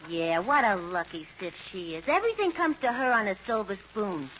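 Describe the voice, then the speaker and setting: A woman's voice: medium pitch, very animated, moderate pace, fairly bright tone. A woman playing a brassy, loyal young roommate, slangy and wisecracking, in old radio comedy style. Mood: wry, sympathetic. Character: brassy, scheming, loyal working-class young female roommate. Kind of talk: 1940s-50s radio comedy acting. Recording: muffled, noisy old radio recording.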